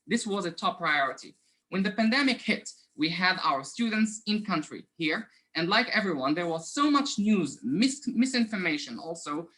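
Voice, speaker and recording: A man's voice: sits high, sharp tone, low-quality recording. A man from Morocco with a Moroccan accent, giving an earnest academic conference presentation over a video call, with frequent fillers and self-corrections. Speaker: conference presenter, assistant professor.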